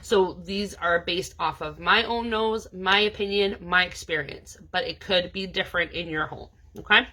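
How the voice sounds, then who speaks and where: A woman's voice: fairly low pitch, moderate pace, hardly any pauses, sharp tone, poor audio. A woman from New England giving a casual, chatty product review as a scent consultant, sounding stuffed up from allergies and filling her talk with "um" and "like". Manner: chatty, many "um" and "like" fillers.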